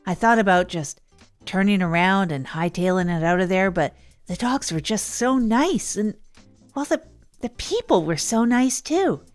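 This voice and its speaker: A woman's voice: medium pitch, animated, measured pace, close to an everyday voice. Woman playing an awkward, rambling radio host over music. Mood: chatty, sincere. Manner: hesitant, rambling, informal. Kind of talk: improvised comic monologue, chatty radio storytelling.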